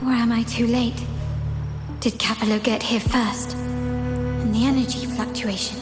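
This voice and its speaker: Woman acting young female investigator, high-pitched, composed, inquisitive, measured musing, video game, loud music behind. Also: little pitch movement, natural voice. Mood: puzzled, doubtful. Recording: echoey voice under loud music.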